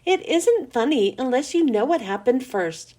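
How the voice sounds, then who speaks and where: Woman voicing a grumbly schoolgirl, high-pitched. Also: lively intonation, normal speed, mellow. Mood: complaining. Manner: complaining, griping. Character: grumbly, literal-minded schoolgirl in a storybook.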